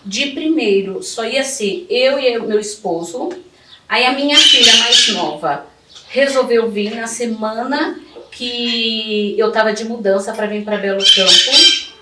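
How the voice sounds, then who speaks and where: High-voiced São woman, echoey noisy recording. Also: unhurried. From São Paulo, Brazil. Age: middle-aged. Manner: devout, emphatic with repetition, colloquial. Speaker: interview guest telling her life story. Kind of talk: personal testimony in conversation. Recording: echoey, distant mic, clearly noisy.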